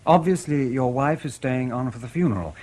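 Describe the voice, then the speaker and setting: An actor playing a polite, probing British intelligence interrogator in a radio drama, courteous, measured and insinuating, with a husky voice. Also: male voice, medium pitch, a little mellow, lightly acted. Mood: pressing.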